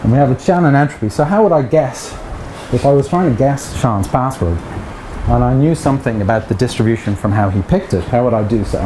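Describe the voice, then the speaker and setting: A man's voice: medium pitch, expressive, fairly quick. A middle-aged man with an Irish accent giving a chatty academic seminar talk, with joking asides to the audience. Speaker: lecturer. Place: seminar room with projector. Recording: echoey room with background noise.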